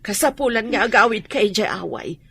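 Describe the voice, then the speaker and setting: A woman's voice: medium pitch, expressive, fairly quick, gravelly, sharp tone, breathy edge, dry recording, full character voice. An actress with a Filipino accent playing a stern, worried mother in a radio drama. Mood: stern concern. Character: stern, worried mother questioning her daughter.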